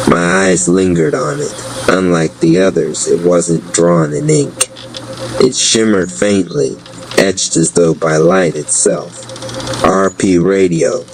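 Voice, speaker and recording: A man narrates a story in a low-pitched voice. He takes his time with a measured, solemn, scripted delivery. A clear layer of rumbling noise sits behind him.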